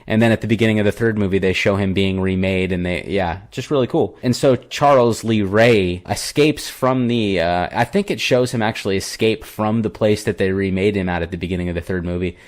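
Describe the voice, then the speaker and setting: A man's voice: on the low side, brisk, hardly any pauses. A young man co-hosting a podcast, casually retelling a horror film with lots of fillers and restarts. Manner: rambling, filler-heavy retelling. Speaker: podcast co-host. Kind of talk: casual movie review chat.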